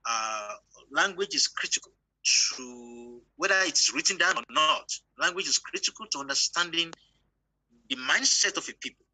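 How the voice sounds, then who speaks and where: A man's voice: a little high, animated, normal speed, bright, forceful tone, no room sound, poor audio. A Nigerian-born man with a Yoruba accent, whose first language is Yoruba, speaks bluntly and with emphasis as a professor in an online panel talk, asking rhetorical questions.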